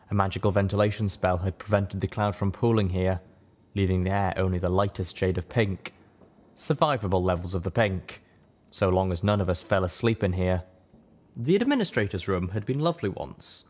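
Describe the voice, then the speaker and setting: A man reading first-person fiction as an audiobook narrator, with measured, dramatic storytelling. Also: sits low, darkish tone.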